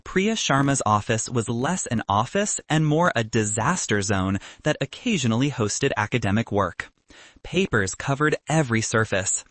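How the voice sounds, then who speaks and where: Wry, animated male audiobook narrator. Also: mid-range voice, normal speed, no echo. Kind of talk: scripted narration of comic fiction.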